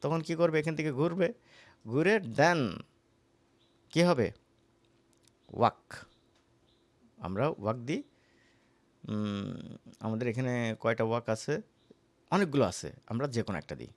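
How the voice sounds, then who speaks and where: A man's voice: medium pitch, expressive, a little slow, long pauses. Bangladeshi man teaching a casual tutorial. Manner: conversational, repeatedly checks with listeners. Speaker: animation course instructor. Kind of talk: casual screen-recorded software tutorial.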